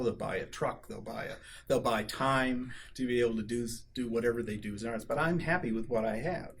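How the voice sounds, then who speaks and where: A man in an unscripted interview, explaining things as a conversational storyteller. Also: lowish voice. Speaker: interviewed musician, violist. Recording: noticeable room ambience and noise.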